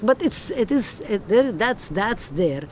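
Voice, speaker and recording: A woman's voice: mid-range voice, very animated, takes it slowly. Earnest woman professor outdoors. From Palestine. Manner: earnest, emphatic, with frequent restarts. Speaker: professor being interviewed. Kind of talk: conversational interview. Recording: outdoor recording with street noise.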